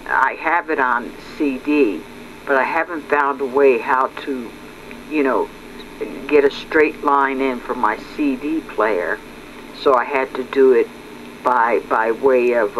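A man talking casually and unscripted, halting and rambling, often repeating his words, as a hobbyist narrating his home video. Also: medium pitch, fairly bright tone, poor audio. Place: indoors at home. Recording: clear background noise.

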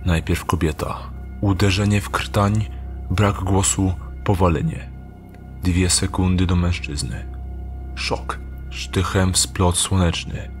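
Thief, radio play, male actor: low, slow, terse, calculating mutter. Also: steady tone, soft background music. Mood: cold, tense. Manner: terse, clipped muttering to himself. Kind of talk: Polish audio drama acting.

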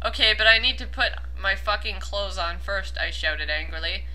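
A young woman with a very bright, forceful voice reads aloud in a casual, mocking way. She is giggly, swears freely and keeps slipping into chatty commentary at a middling pitch. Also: normal speed, poor audio. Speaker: host of a comedic commentary reading.

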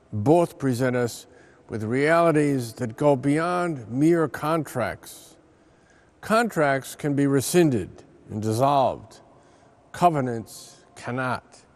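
An American priest in his seventies reads a scripted religious reflection. His mid-pitched voice is unhurried and solemn, and he leans deliberately on key words. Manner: measured, solemn, deliberate emphasis. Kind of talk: scripted reflection read aloud.

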